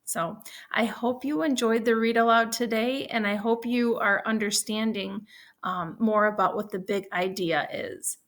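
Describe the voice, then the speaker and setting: A woman teaching young children, reading a story aloud and explaining it in a deliberate, instructional way. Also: on the high side, steady tone, fairly bright tone.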